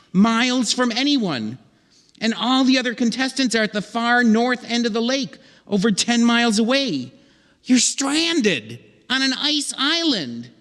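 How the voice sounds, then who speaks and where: A man's voice: extremely high pitch, a bit bright. A man playing a flustered, pleading stranded ice fisherman in an old-time radio comedy sketch, stammering dramatically and repeating himself. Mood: dramatic narrating. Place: theatre stage. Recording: echoey theatre hall with long reverb.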